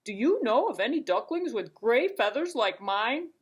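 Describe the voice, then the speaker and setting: A woman's voice: a little high, very animated, moderate pace, breathy edge. A woman voicing a sad, lonely young male duckling in a storybook puppet show, plaintive and earnest. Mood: hopeful, pleading.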